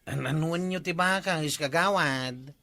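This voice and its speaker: Bright, eager Ilocano village schemer. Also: male voice, fairly high pitch, unhurried, vocal fry, no echo, heavily acted. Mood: scheming. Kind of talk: radio comedy drama acting.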